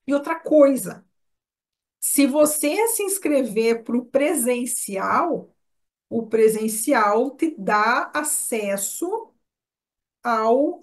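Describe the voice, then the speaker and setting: A woman with a Brazilian Portuguese accent, talking informally as a course instructor in a live online webinar, chatting directly with attendees. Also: a little high. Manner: chatty, addresses listeners by name.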